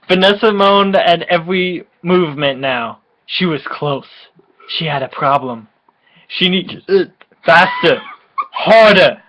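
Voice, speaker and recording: A person giving a tipsy, mock-dramatic reading of comic fan fiction, halting and often giggly. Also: mid-range voice, fairly bright tone, low-quality recording. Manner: giggly, frequently breaking into laughter; melodramatic, halting delivery.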